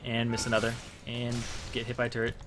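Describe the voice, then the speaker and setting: A man's voice: medium pitch, completely flat delivery, quick pace, heavy background noise. A young man giving casual, explanatory gameplay commentary, thinking aloud as he plays. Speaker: gamer making a strategy guide. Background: faint game music and sounds.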